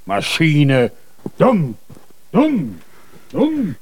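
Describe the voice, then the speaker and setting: A man's voice: fairly high pitch, huge pitch swings, drawn-out words, darkish tone, exaggerated voice. An actor playing a bossy, scornful, threatening foreman of fruit pickers in a German children's radio play. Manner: gruff, commanding, threatening.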